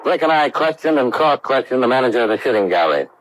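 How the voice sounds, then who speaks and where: An American actor playing a brisk, hard-boiled investigator who narrates his case in clipped, matter-of-fact 1950s radio style. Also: mid-range voice, sing-song, soft background music, close to an everyday voice, poor audio. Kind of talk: 1950s radio detective acting. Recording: vintage radio drama recording.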